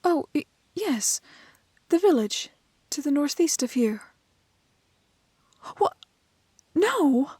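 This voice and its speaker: A woman playing a gentle, curious young village maiden in a romantic fantasy audio roleplay, with a soft, breathy voice and halting, old-fashioned polite phrasing. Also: high voice, sing-song, no echo, studio quality, heavily acted. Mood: pleading, alarmed.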